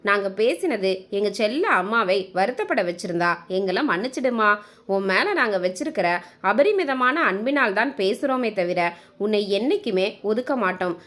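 A woman's voice: mid-range voice, lively intonation, moderate pace, fairly bright tone, lightly acted. A woman voicing a teasing but devoted young adult son, earnest and coaxing, in a narrated family story. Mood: earnest, reassuring.

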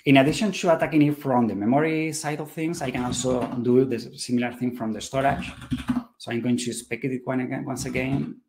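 A man's voice: medium pitch, steady tone, fairly quick, darkish tone, a little hiss or hum. Hesitant man giving a technical talk. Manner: hesitant, many fillers and restarts. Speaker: conference presenter. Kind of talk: technical talk with live demo.

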